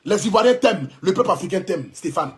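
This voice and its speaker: A man's voice: high voice, expressive, fast, a little mellow. Fervent Ivorian man preaching on livestream. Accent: Ivorian French. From Ivory Coast. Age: 30s. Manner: preachy, fervent, repetitive, defiant. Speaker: social media blogger. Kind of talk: emotional livestream address. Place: indoors, clothing rack behind.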